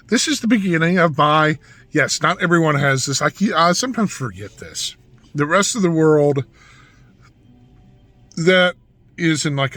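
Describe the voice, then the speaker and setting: A man with a hoarse, raspy voice talks through a casual vlog. He rambles and restarts his sentences, in a bright, forceful tone pitched a little high for a man. The sound is dry, with no room echo. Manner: rambling, with frequent restarts and asides. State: hoarse from yelling. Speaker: vlogger.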